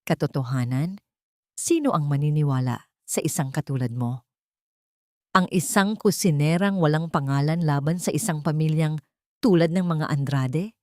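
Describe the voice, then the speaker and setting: A woman's voice: low-pitched, normal speed, darkish tone, no room sound, clean studio sound, natural voice. A woman voicing a stern, menacing old matriarch of a rich family in an audio story, cold and commanding. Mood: cold, threatening.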